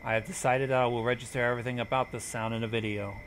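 A man's voice: medium pitch, flat delivery, racing, fairly bright tone, slight background noise. A man hosting a reaction show, reading on-screen text aloud and adding commentary.

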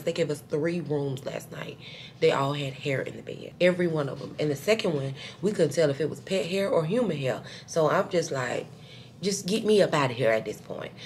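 A woman's voice: deep voice, a little hiss or hum. An older woman, worn out and chatting casually to her camera in a hotel room. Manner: chatty, says y'all a lot. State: tired. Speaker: vlogger. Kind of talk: casual vlog chat to camera.

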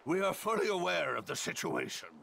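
Gravelly, solemn alien elder. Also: male voice, sits high, very animated, brisk, fairly bright tone, a little hiss or hum, heavily acted. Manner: formal, reverent. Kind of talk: anime video game voice acting.

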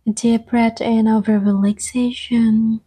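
A woman speaks softly in a slow, soothing ASMR meditation style. Her voice is mellow and breathy, often thinning toward a whisper. She leaves long, gentle pauses between short phrases. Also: fairly high pitch, flat delivery, poor audio. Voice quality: soft-spoken, breathy, often slipping into whisper. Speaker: ASMR guide and host.

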